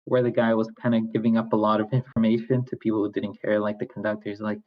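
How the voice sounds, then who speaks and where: A man's voice: on the low side, flat delivery, fast talker. A man chatting casually in a group discussion, tentative and often rephrasing himself, on a muffled lo-fi microphone. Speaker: participant in a script discussion. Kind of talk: casual chat.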